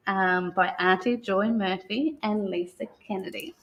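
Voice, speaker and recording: An Australian woman speaking as a guest storyteller, talking and reading a picture book aloud in a gentle manner. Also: mid-range voice, measured pace, low-quality recording. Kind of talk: unscripted talk and picture-book read-aloud.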